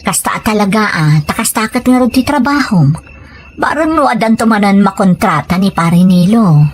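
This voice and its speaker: A woman's voice: mid-range voice, animated, normal speed, slight background noise, natural voice. A woman with a Filipino accent playing a worried, submissive mother and wife in an audio drama, questioning and pleading. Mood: puzzled, concerned. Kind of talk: scripted audio drama acting.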